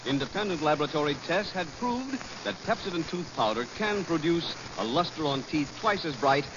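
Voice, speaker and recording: A male announcer reading a 1940s radio commercial in a brisk, persuasive way. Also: on the high side, animated. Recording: dull 1940s radio broadcast, faint hiss.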